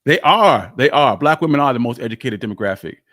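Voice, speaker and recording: A man's voice: medium pitch, animated, quick pace, a bit bright, no room sound, clean studio sound. Emphatic, conversational man hosting a livestream. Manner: conversational, emphatic, repeats phrases for weight. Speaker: host of a history live stream. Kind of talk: casual live talk answering audience questions.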